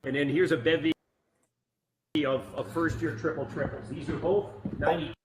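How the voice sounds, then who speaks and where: A man's voice: fairly high pitch, steady tone, moderate pace, crowd noise. A man who collects old machines, eagerly explaining their details in a casual way. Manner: eager, knowledgeable, walks through details. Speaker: guest collector.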